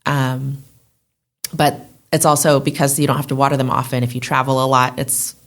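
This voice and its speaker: Low-voiced woman chatting as a guest. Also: flat delivery, moderate pace. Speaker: guest, plant shop owner. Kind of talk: casual interview chat.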